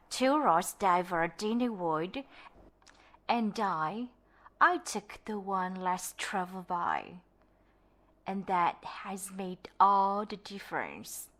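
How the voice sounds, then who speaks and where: Female amateur reader for book narration: unhurried, deliberate, mid-pitched, pronouncing each word with care. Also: fairly bright tone. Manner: careful, deliberate pronunciation practice. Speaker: amateur reader on own channel. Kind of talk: reading a book aloud.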